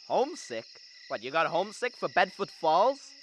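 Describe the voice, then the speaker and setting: A woman's voice: on the low side, big pitch swings, normal speed, a little hiss or hum, rough recording. A young woman playing an awkward, defensive, stubborn young man in a school radio drama, hesitant and stammering. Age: young adult.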